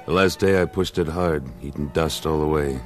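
A man's voice: extremely low pitch, steady tone, moderate pace. An actor playing a tough, laconic American cattleman in an old-time radio western, speaking in a terse, self-assured way. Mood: grim narrating. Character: tough, laconic cattleman gunslinger, a former cavalryman. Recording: old radio recording, faint music.

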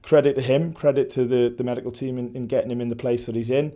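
A Welsh man in his forties, a football head coach, giving a measured post-match interview with many restarts and fillers. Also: medium pitch, steady tone, mellow. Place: indoor press backdrop at a stadium.

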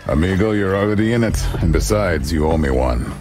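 A cocky, easygoing outlaw of the Old West for a video game. The voice is very deep and swings freely in pitch, with drawled lines peppered with Spanish words. Music plays clearly behind him. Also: male voice, expressive, brisk. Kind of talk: video game cutscene acting.

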